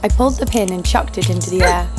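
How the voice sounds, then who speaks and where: A woman's voice: lowish voice, quick pace, close to an everyday voice. A woman playing a tough, cynical bounty hunter who narrates her exploits in an audio drama, sardonic and cool. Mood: wry. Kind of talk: first-person scripted drama narration. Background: music.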